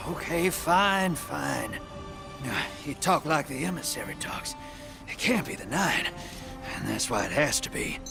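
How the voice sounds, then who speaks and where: An actor playing a wisecracking, roguish smuggler in a sci-fi video game, talking in a glib, colloquial way. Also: male voice, on the high side, lively intonation, unhurried, creaky. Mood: exasperated. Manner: glib, cheeky, loose and colloquial. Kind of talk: video game character dialogue. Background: game music.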